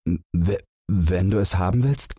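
A man's voice: deep voice, takes it slowly, dark tone, breathy edge, dry recording, studio quality, voice pushed up, exaggerated voice. A man voicing a timid, sad young man down on his luck in a fairy tale audiobook, humble and hesitant. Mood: shy, awestruck.

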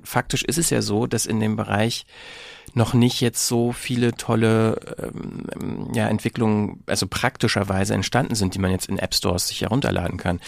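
A man's voice: fairly low pitch, creaky, no room sound, studio quality. A man in a casual podcast discussion, speaking as a panelist in a hesitant, hedging way.

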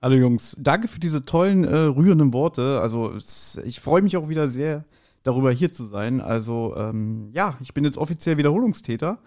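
A man's voice: medium pitch, expressive, moderate pace, mellow. A man appearing as a guest on a podcast, speaking in a friendly, modest way. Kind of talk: casual podcast chat.

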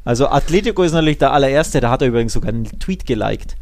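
A man talks football as a podcast co-host, with a mid-pitched voice that has noticeable creak. He is colloquial and opinionated, and the recording is close and dry. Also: lively intonation, racing, a little mellow, no echo. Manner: colloquial, opinionated, with tag questions. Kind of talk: casual football discussion.

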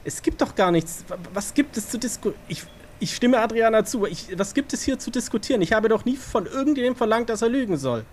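Man acting high-pitched, hot-tempered vampire enforcer. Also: big pitch swings, fast, slight background noise. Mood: agitated. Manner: insistent, stammering, repeats himself when agitated. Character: hot-tempered male vampire enforcer defending his loyalty. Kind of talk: German audio drama acting.

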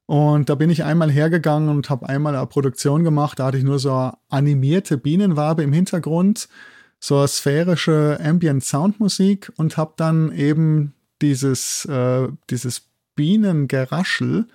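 A man's voice: on the high side, a little slow, dark tone, dry recording, clean studio sound. A middle-aged man explaining things enthusiastically as an expert interview guest, often checking in at the ends of phrases. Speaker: guest expert, zoology institute head and sound producer.

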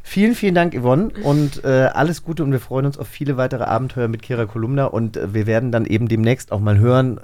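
A man's voice: mid-range voice, fast, few pauses, warm tone. A man co-hosting a podcast in casual, enthusiastic chat. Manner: chatty, enthusiastic. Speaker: podcast co-host.

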